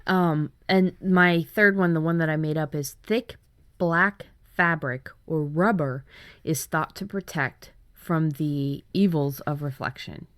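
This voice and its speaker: A woman's voice: deep voice, takes it slowly, fairly bright tone, smooth. A woman co-hosting a writing workshop, casually chatting as she shares her story ideas. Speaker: writer and workshop co-host.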